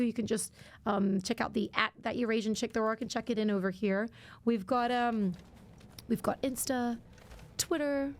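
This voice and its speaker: A woman's voice: sits high, a little hiss or hum. Chatty young woman streamer, slightly worn voice. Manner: chatty, rambling, lots of fillers and restarts. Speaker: variety streamer. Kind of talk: casual livestream chat while gaming.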